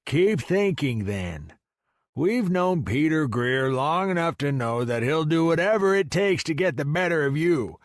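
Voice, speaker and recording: A man's voice: a little high, expressive, unhurried, no echo, pitched above the performer's own voice. A man voicing a supportive, easygoing male friend who coaxes and encourages, in audiobook dialogue. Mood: coaxing, probing. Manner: coaxing, encouraging, questioning.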